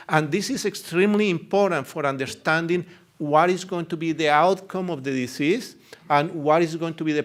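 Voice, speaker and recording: A middle-aged man with a strong Colombian Spanish accent, a native Spanish speaker, delivering a conference lecture as a neurologist. His voice sits somewhat high for a man. He speaks earnestly and haltingly, pausing often on filler sounds as he works through his points.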